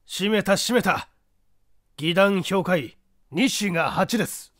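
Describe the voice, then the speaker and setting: A man's voice: sits high, slow pace, a bit bright, no echo, clean studio sound, voice pushed up. A man voicing a boisterous male painter in an audiobook, scornful and exclamatory. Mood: mocking, excited.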